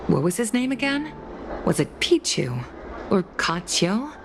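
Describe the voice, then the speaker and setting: A woman playing a composed, mature official and former pilot in a video game, speaking in a measured, formal and courteous way. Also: medium pitch, sing-song, noisy background. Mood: courteous, nostalgic. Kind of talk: scripted video game voice acting. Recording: music behind the voice.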